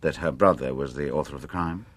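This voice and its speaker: Radio drama inspector: very deep register, shrewd and tactful, unhurried questioning, courteous hesitations. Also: male voice, no room sound. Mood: musing, probing. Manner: measured, probing, politely hesitant. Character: shrewd, patient, discreet male police inspector questioning a suspect. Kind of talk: radio drama acting, interrogation dialogue.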